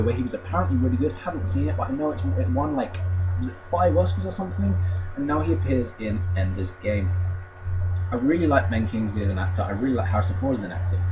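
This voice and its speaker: A man's voice: mid-range voice, low-quality recording. A man with an English accent giving a casual, rambling movie review in an unenthusiastic, conversational way. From England. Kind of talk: casual spoken review to camera. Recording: music playing behind the voice. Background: music.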